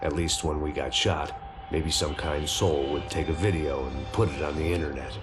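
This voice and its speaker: An actor playing a weary, cynical middle-aged ex-cop, narrating in a gravelly noir voice-over for a video game. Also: male voice, extremely low pitch, fairly even intonation, normal speed. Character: weary hard-bitten older male ex-cop. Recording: game audio with loud music.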